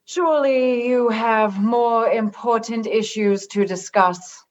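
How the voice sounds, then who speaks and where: A woman playing a stern, cryptic alien religious and political leader in a sci-fi radio comedy, speaking formally and literally, with measured delivery. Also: a little high, highs cut off.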